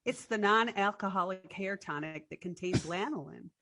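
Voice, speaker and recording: A woman's voice: mid-range voice, normal speed, pushed and bright, no room sound. A woman chatting casually and with amusement as one of a group of performers. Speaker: theatre company performer.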